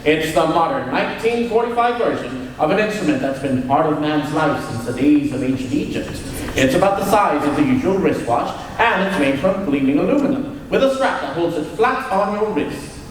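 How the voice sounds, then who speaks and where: A distant recording in a very reverberant hall, faintly noisy, of a man as an eager old-time radio pitchman. The voice is fairly high, friendly and selling. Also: natural voice. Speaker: announcer. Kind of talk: 1940s radio advertisement performed live. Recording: echoey hall, distant mic, faint noise.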